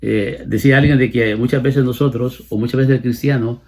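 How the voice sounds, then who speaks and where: A man's voice: medium pitch, steady tone, fairly quick, a little mellow. A man in his late fifties with a Salvadoran accent, preaching a sermon and explaining scripture, repeating phrases for emphasis. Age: late 50s. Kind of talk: sermon, Bible exposition.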